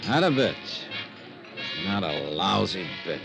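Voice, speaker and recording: An actor playing a world-weary, terse insurance investigator in a 1950s radio detective drama, with a clipped, dry, brooding delivery. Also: male voice, sits low, very animated, slow, vocal fry, exaggerated voice. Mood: wry, bitter. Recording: vintage radio recording with music.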